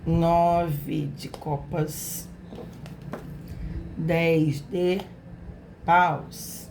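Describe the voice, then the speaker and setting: A hoarse woman with a Brazilian accent speaks slowly and unhurriedly, her voice sitting a little low. She chats affectionately to her viewers as she runs a spiritual tarot reading. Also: level tone, background noise. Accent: Brazilian Portuguese. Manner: affectionate, chatty, talks straight to viewers. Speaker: tarot reader, channel host. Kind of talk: casual spiritual reading to camera.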